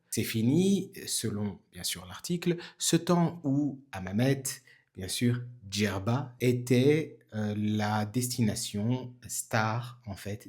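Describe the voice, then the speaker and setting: A man in his forties from Tunisia, chatting casually to viewers and pausing often with fillers. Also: fairly low pitch, a little mellow, breathy edge. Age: 40s. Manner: chatty, many filler pauses. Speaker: channel host. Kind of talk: casual vlog commentary. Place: indoors in Tunisia.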